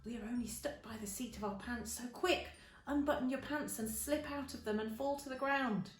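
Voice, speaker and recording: A woman voicing a sensible little boy urgently sharing a plan in a hushed storybook voice. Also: on the high side, normal speed, echoey room, slight background noise, pitched above the performer's own voice, close to an everyday voice. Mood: hushed urgency.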